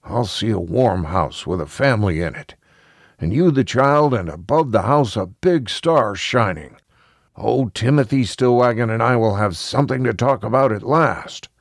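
A man's voice: on the low side, animated, moderate pace, no room sound, studio quality, voice pushed up. A man voicing a cheerful, lonely old country neighbour in a storybook, rambling along in folksy, old-fashioned speech. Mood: cheerful, wistful.

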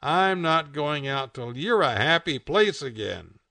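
A man's voice: on the high side, lively intonation, a little slow, pushed and bright, no echo, recorded from a distance, full character voice. A man voicing a timid, disillusioned male cartoon pig who talks in a plaintive, stubborn way.

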